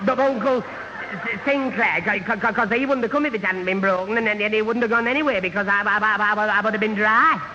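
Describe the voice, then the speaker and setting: A man's voice: high voice, a little fast, natural voice. An actor playing a flustered, gormless young man who stammers, in a British radio sitcom. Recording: muffled, noisy old radio recording.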